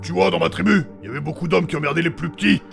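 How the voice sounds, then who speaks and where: Man playing a gravelly, brash tough guy. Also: fairly high pitch, racing, over music. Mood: blunt, preachy. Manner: blunt, crude, combative. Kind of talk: comic fantasy audio drama.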